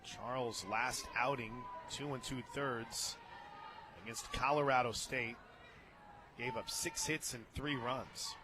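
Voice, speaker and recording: A man doing live play-by-play softball commentary on the radio, calling each play in short clipped phrases. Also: mid-range voice, fairly even intonation, takes it slowly. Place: outdoor ballpark radio booth. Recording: outdoor crowd noise behind the voice.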